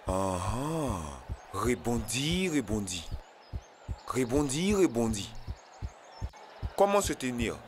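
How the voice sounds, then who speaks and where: A man's voice: mid-range voice, slight background noise. A man voicing a goofy, clumsy animal athlete in a cartoon, cheerfully vain, comic and exclamatory. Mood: boastful, excited.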